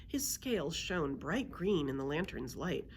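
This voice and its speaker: A woman reading a children's book aloud as a library storyteller, in an expressive storytelling manner. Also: low voice, measured pace, slight background noise.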